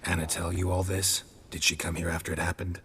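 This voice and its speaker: An actor playing a gruff, skeptical male monster hunter who speaks in a dry, curt way in a video game cutscene. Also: deep voice, level tone, brisk, fairly bright tone, heavily acted.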